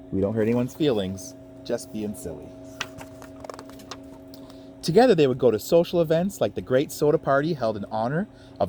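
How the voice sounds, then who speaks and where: A man reading a children's picture book aloud in a playful, expressive way, with chatty asides to his young listeners. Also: on the low side, a little hiss or hum.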